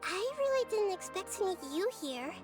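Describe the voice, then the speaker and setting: A woman voicing a gentle, childlike goddess in a video game, soft and thoughtful, talking in calm analogies. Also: very high voice, normal speed. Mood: curious. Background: game music.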